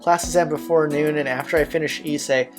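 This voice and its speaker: A man's voice: on the high side, steady tone, a little fast. A man hosting a let's-play, reading a visual novel's narration aloud. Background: game music.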